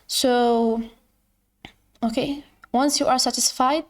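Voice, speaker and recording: A woman who is not a native English speaker, hosting an informal screen-share tutorial in a halting way, often repeating and correcting her words. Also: fairly high pitch, fairly even intonation. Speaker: tutorial host.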